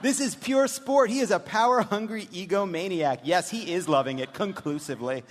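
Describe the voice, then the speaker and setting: A man's voice: high-pitched, lightly acted. Man reading as a jaded, scornful daughter, echoing hall. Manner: dry, deliberate dramatic reading. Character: politician's adult daughter texting, candid, jaded, dryly scornful. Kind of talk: comic dramatic reading on stage. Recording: reverberant theater, some noise.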